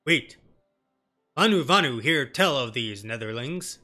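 A man's voice: medium pitch, animated, measured pace, sharp tone, faint music behind. A man voicing a proud, blustery beastman tribesman in pompous, archaic broken phrasing for a fantasy game. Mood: urgent, grave.

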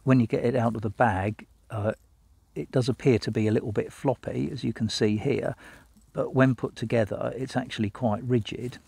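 A man's voice: medium pitch, steady tone, normal speed, vocal fry, darkish tone, husky. An older man vlogging outdoors in a wood, chatting casually about his camping gear with plenty of ums and uhs. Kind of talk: casual walk-and-talk vlog. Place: outdoors in a woodland.

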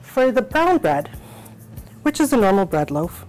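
A middle-aged woman hosting a cooking show from a small home kitchen, explaining each step in an encouraging, unhurried way. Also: medium pitch, very animated, a little mellow, soft background music, low-quality recording.

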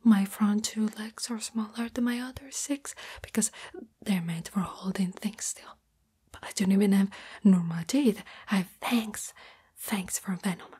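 Woman playing a breathy, whispering shy spider girlfriend. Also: mid-range voice, unhurried, mellow. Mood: hesitant, self-conscious. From Italy. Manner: hesitant, tender, intimate. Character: shy, lovestruck young female spider monster girlfriend. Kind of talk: ASMR roleplay.

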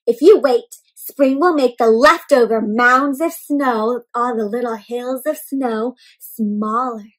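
A young woman reading a picture book aloud to young children in an animated, expressive way, often putting questions to the listeners. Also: a little high, slow pace, slight background noise, low-quality recording. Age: young adult. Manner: animated, questioning, addressing young viewers as friends; exaggerated expressive delivery with gasps and stretched words. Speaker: children's read-aloud storyteller. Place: indoor room with houseplants.

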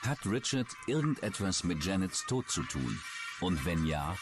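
A man narrating a true-crime documentary in a measured, suspenseful way from a script. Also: low-pitched, sing-song. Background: background music.

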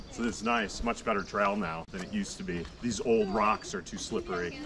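A man's voice: on the low side, normal speed, fairly bright tone. An American man in his thirties chatting casually as the host of an outdoor travel vlog. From United States. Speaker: vlog host, hiking guide. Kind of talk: casual morning vlog chat. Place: outdoors at a rural guesthouse. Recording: faint outdoor background noise.

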